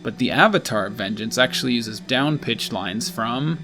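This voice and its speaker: A man's voice: medium pitch, animated, moderate pace. A man hosting with scripted narration. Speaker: host. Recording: background music under the voice. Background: music.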